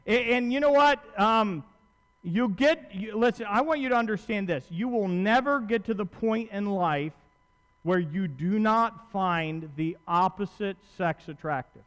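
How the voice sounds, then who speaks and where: A man's voice: high-pitched, a little slow, a bit bright. A man in his thirties preaching a sermon in a conversational, emphatic way, repeating phrases and posing questions to his listeners. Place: large church auditorium, at pulpit on stage. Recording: long hall reverb from stage.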